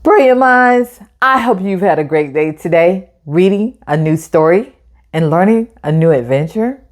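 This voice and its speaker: A woman's voice: on the low side, animated, normal speed. A woman hosting a children's storytime, talking like a teacher and encouraging young listeners with direct questions. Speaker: host of children's read-aloud storytime.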